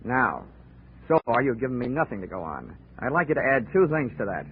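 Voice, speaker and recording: An actor playing a cocky, wisecracking insurance investigator who narrates wryly in a 1940s radio drama. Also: male voice, medium pitch, big pitch swings, a little fast, slight background noise, close to an everyday voice. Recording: tinny old 1940s radio recording with music.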